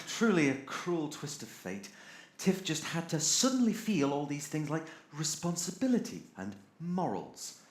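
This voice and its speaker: A man's voice: mid-range voice, roomy sound, natural voice. A man playing an irritable, sardonic robot crewman in an audio drama, theatrically exasperated and sarcastic. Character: irritable sardonic male robot crewman keeping a ship's log.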